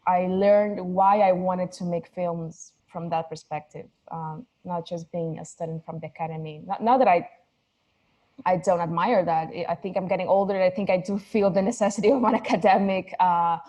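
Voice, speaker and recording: Thoughtful woman in her 30s from Mexico, second-language speaker, low warm voice, halting restarts, video call interview. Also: steady tone, dull audio. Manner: thoughtful, searching for words, many restarts. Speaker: filmmaker guest. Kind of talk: casual interview conversation.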